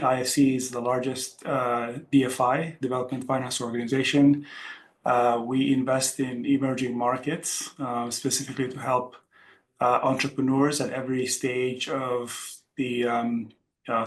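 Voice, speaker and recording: A man speaking as a panelist and investment executive, in measured, halting phrases. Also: medium pitch. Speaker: panelist, global head of venture capital. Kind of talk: panel introduction. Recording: faint background noise, room ambience.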